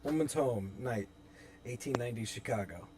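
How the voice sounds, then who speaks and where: A man's voice: mid-range voice, moderate pace. A man reading stage directions as the narrator of a horror script in a live table read.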